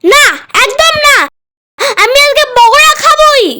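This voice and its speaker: A woman's voice: extremely high pitch, unhurried, noisy background. A woman voicing a stubborn, whiny young child in a cartoon, insistent and whining. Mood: defiant tantrum. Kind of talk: Bengali cartoon voice acting.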